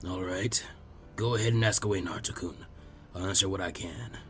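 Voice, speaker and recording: A man voicing a calm, kindly old village leader who speaks gravely in a slow, measured way. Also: deep voice, pitched below the performer's own voice. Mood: solemn, gentle.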